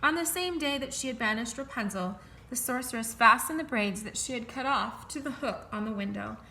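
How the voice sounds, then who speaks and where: A woman reading a fairy tale aloud in a clear, expressive, measured way. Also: fairly high pitch. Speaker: storyteller.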